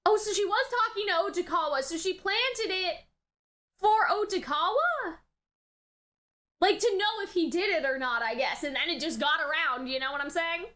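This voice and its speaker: A woman's voice: very high voice, lively intonation, moderate pace, bright, forceful tone. A woman giving a casual live reaction commentary, exclamatory and slangy, thinking aloud as she goes. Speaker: reaction video host.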